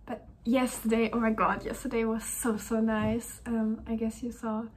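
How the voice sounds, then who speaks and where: A young woman, a university student, talking in a casual diary-style vlog. She speaks softly and hesitantly, often dropping to a gentle, breathy whisper. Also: fairly high pitch, level tone, moderate pace, slight background noise. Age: young adult.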